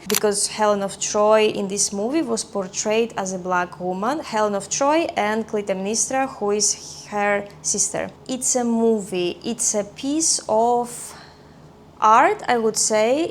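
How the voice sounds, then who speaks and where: A woman's voice: mid-range voice, unhurried, slight background noise. A young Greek woman with a Greek accent, chatting casually to camera in a vlog and thinking aloud with little restarts. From Greece. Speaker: vlogger.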